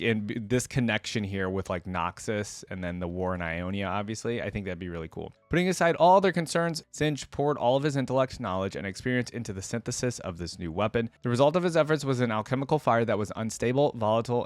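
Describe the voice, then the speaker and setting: A man's voice: fairly low pitch, no echo. A man hosting a reaction video, speaking informally, reading aloud and commenting casually into a close mic. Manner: informal, drops in side comments while reading.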